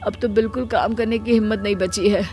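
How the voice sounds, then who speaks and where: A weary but dutiful male doctor from a cartoon moral tale, acted out by a woman at a medium pitch. The delivery is earnest and compassionate, with faint music underneath. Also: fairly even intonation, brisk, dark tone, lightly acted. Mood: exhausted. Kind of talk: Hindi moral cartoon story voice acting.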